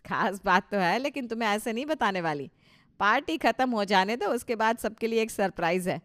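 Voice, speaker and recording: Cartoon matriarch voiced by a woman: older, proud, self-satisfied, emphatic, mid-range pitch. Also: animated, fairly quick, fairly bright tone, lightly acted. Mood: proud, gracious. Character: proud, touchy older mother-in-law hosting friends. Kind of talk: Hindi cartoon story dialogue.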